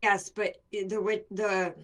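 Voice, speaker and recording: A woman's voice: mid-range voice, little pitch movement, a bit bright. A woman from Wisconsin with a Wisconsin accent, co-hosting a casual podcast chat and teasing her partner bluntly. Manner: teasing, blunt, quick to interrupt. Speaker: podcast co-host.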